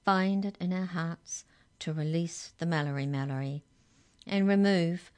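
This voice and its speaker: A woman's voice: lowish voice, fairly even intonation, unhurried, natural voice. Woman as female giant, sly, insistent, self-justifying, children's story villain. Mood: wheedling.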